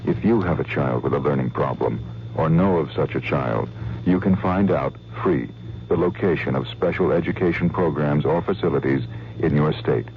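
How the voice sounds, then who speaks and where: A man reading a public service announcement in a slow, earnest announcer read. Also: rumbling low. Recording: dull old radio with hum.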